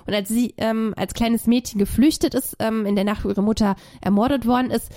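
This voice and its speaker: A woman co-hosting a casual podcast, talking through a film's plot in a loose, rambling way full of fillers and restarts. She has a cold. Her voice sits a little high and sounds mellow. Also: steady tone, a little fast. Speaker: podcast co-host. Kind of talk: casual film review chat.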